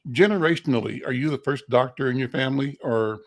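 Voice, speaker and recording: Gravelly man hosting an interview. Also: medium pitch. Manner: measured, with many "uh" pauses and affirming "mm-hmm"s. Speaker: interview host. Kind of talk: interview, asking questions.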